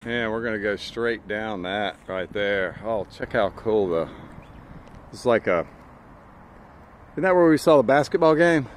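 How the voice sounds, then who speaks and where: A man's voice: fairly low pitch, animated, measured pace, long pauses. A man hosting a travel vlog, musing casually and conversationally as he hikes, recorded outdoors on a handheld camera. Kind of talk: casual hiking commentary. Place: outdoors on a mountain trail.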